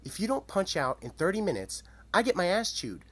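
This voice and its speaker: A man's voice: high voice, very animated, a little fast, a bit bright, full character voice. A man playing an over-familiar, insistent office supervisor in a radio mystery drama, bossy and nagging.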